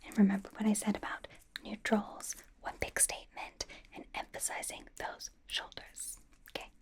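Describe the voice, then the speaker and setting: A woman whispers softly and breathily in an ASMR personal-attention roleplay. She plays a bubbly young idol stylist who dotes on the listener, coaxing and reassuring with playful, chatty asides. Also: medium pitch, monotone, moderate pace. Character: bubbly, doting young female pop idol playing stylist.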